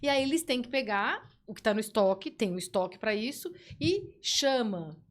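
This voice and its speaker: A woman guest on a podcast, telling work stories in a casual, lively way with frequent restarts. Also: fairly high pitch, moderate pace. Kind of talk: casual storytelling.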